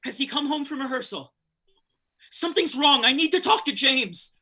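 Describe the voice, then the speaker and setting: A woman's voice: sits high, animated, moderate pace, sharp tone. A woman playing a frightened young boy who pleads urgently with his mother. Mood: frantic, pleading.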